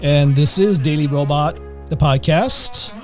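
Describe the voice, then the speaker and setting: A middle-aged man from Greece hosting a podcast in casual chat, often pausing with uh and um. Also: on the high side, expressive, soft background music. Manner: hesitant, many uhs and ums. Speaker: podcast host.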